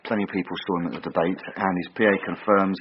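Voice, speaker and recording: Actor as low-voiced hesitant junior detective. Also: male voice, fairly even intonation, very fast, lightly acted. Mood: dutiful, hesitant. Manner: hesitant, matter-of-fact. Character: hesitant, dutiful male junior police detective. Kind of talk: naturalistic radio drama acting. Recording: muffled radio recording with noise.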